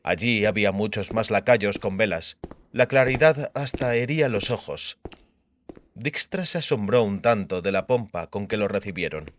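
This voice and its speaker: A man's voice: fairly low pitch, animated, normal speed, slight background noise. A man reading scripted narration of a fantasy novel as an audiobook narrator.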